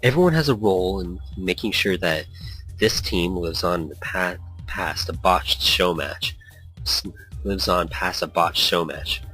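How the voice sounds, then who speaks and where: A man's voice: low voice, unhurried, bright, forceful tone, soft background music, poor audio. A man hosting a gaming let's play, reading story text aloud with playful, rambling asides and small stumbles. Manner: playful, rambling, stumbles over read lines.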